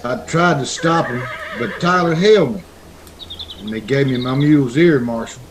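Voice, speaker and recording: An actor playing a gentle, simple-minded, picked-on drifter in a vintage radio Western, sounding childlike and plaintive. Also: male voice, medium pitch, moderate pace, a little hiss or hum, close to an everyday voice. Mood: hurt.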